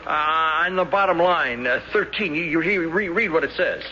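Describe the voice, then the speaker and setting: An actor in an old radio drama playing a timid, bumbling, soft-hearted bank officer who stammers apologetically. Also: male voice, fairly high pitch, moderate pace, over music, close to an everyday voice. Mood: flustered. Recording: dull lo-fi radio broadcast with faint hiss.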